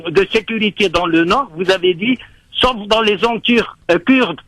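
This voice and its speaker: A man from Lebanon calling in to a radio show, talking in a halting, rambling way. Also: high voice, fairly bright tone, poor audio. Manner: rambling, halting, heavy pauses. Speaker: radio caller. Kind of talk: call-in radio talk.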